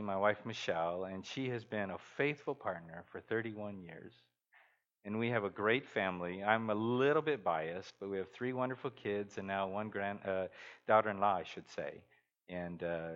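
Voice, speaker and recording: A middle-aged man who grew up in Guatemala, preaching a sermon as a guest speaker and telling stories, acting out the people he quotes. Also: highs cut off. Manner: storytelling, acts out quoted voices with big pitch jumps. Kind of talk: sermon with anecdotes.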